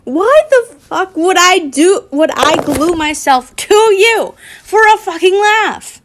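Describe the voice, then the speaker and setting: A woman's voice: very high-pitched, expressive, slow pace, slight background noise, voice pushed up, exaggerated voice. An American woman voicing an irritated teenage wizard boy in a story, sarcastic and sweary. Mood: pissed off.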